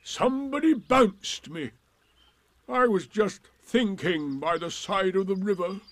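Old donkey from a storybook, gruff, glum and sarcastic, dragging slow delivery, dry close sound. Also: male voice, sits high, animated, a bit bright, dry recording, pitched above the performer's own voice, exaggerated voice. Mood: aggrieved, sardonic. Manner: slow, drawn-out, mournful complaining. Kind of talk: storybook character reading.

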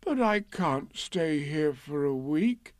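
Storybook toy bear: slow, hesitant, self-pitying, voice swooping up into plaintive worry. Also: male voice, medium pitch, lively intonation, vocal fry, warm tone, no room sound, studio quality, exaggerated voice. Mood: anxious, gloomy. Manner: hesitant, plaintive, drawn-out delivery.

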